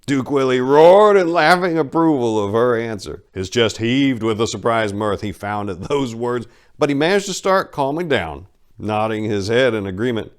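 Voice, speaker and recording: A man's voice: mid-range voice, animated, normal speed, clean tone. A man narrating a crime story as a dramatic scripted reading.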